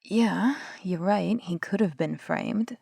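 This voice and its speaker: A woman's voice: medium pitch, slow pace, gravelly, dark tone, slightly rough, dry recording. A young woman performing a one-sided audio roleplay as a skeptical investigator, arguing and sarcastic, sometimes dropping to a whisper. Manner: skeptical, argumentative, sarcastic, swears casually.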